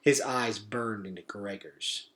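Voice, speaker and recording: A man's voice: on the low side, takes it slowly, fairly bright tone. A man reading a children's novel aloud as a storyteller, with dramatic, suspenseful delivery.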